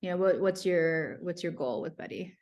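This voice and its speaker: A young woman hosting a podcast over a video call, asking quick, upbeat questions. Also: fairly low pitch, highs cut off. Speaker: podcast host. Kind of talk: friendly interview hosting.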